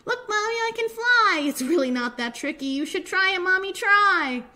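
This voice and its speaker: A woman voicing a small, timid but excitable young boy penguin in a high childlike voice for a storybook. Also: normal speed, exaggerated voice. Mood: thrilled. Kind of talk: children's storybook read aloud.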